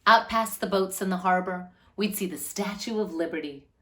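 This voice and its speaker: A woman's voice: medium pitch, slight background noise. Woman reading a children's picture book aloud. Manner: animated, deliberate phrasing with drawn-out words. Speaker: read-aloud storyteller for children.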